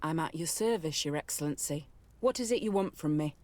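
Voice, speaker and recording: An actress playing an honourable military commander in a video game, speaking crisply, formally and respectfully. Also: female voice, deep voice, expressive, a little fast, darkish tone. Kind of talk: dramatic video game dialogue.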